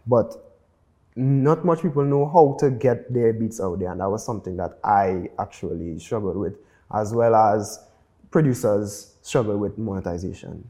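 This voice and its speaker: Man, Trinidadian accent, lowish pitch, dark relaxed tone, casual conversational interview talk, music producer. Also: moderate pace. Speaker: music producer, podcast guest.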